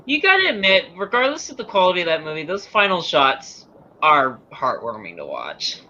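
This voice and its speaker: A man hosting a casual podcast chat with friends, excitable and teasing, often talking over others. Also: fairly high pitch, normal speed, highs cut off. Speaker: podcast host.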